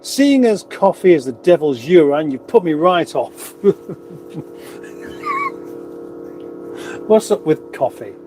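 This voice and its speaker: A man's voice: high-pitched, huge pitch swings, extremely slow, long pauses, noisy background. A man with a runny nose, hosting a casual livestream, rambling chattily with wry asides as he reads out comments. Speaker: livestream host. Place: garage.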